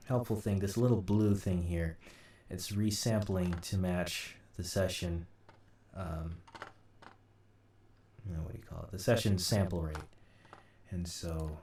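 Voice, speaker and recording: A man giving an informal screen-recorded software tutorial, thinking aloud haltingly as he works. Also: sits low. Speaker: host, audio drama sound designer. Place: indoor room at a computer. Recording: roomy, distant mic, light noise. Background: keyboard typing and mouse clicks, faint noise.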